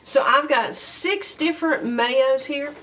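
A woman's voice: high voice, slow, pushed and bright. A woman co-hosting a garden radio show, speaking in brief, matter-of-fact casual chat. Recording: distant mic.

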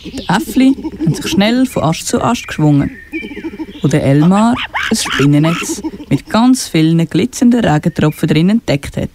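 A young narrator reads a storybook in a Swiss German accent. The pitch is medium, and clear noise runs behind the amateur recording. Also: sing-song, moderate pace. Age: child or teenager.

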